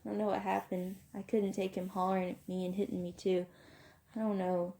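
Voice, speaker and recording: A woman's voice: mid-range voice, level tone, a little fast, vocal fry, warm tone, lightly acted. A woman voicing a sensitive teenage boy, a younger brother who is pleading and defensive. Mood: hurt, scared.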